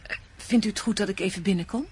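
A woman's voice: medium pitch, a little mellow, slight background noise, lightly acted. An actress playing a troubled, guarded woman in a radio drama, speaking hesitantly. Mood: polite, guarded. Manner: hesitant, halting. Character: troubled, distrustful woman making guarded inquiries. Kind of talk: Dutch radio drama acting.

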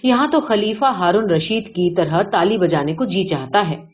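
A woman's voice: fairly low pitch, close to an everyday voice. A woman reading the part of a reserved, wry male visitor in a story, with brief understated replies. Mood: polite, evasive. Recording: dull lo-fi audio.

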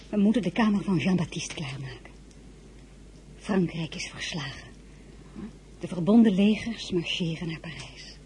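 A woman playing a gracious but worried crown princess in a radio drama, soft-spoken and a little hesitant under strain. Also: lowish voice, slight background noise. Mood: weary, gracious. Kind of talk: radio drama acting with diary narration.

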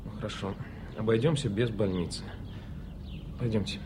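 An actor playing an insistent, exasperated but caring doctor in a 1980s Soviet radio drama, speaking in clipped, brusque phrases. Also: male voice, fairly low pitch, normal speed, warm tone, lightly acted. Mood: calmer, brisk. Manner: brusque, urging, clipped professional speech. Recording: faintly noisy archive radio play recording.

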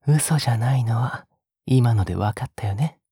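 A woman acting as an obsessive young man with a low, very breathy voice, recorded close and dry. He speaks as a possessive captor in an intimate Japanese audio drama, coaxing and gently menacing, with murmurs that swing widely in pitch and sink into whispers. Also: moderate pace, no echo, full character voice. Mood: scolding, controlling. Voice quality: breathy, husky.

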